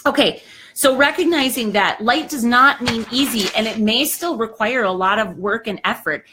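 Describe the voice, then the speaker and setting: A woman in her thirties or forties hosting a live show, giving a chatty, emphatic motivational talk and often saying "okay", her voice a little hoarse. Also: on the high side, moderate pace, noisy background. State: slightly hoarse, clearing throat.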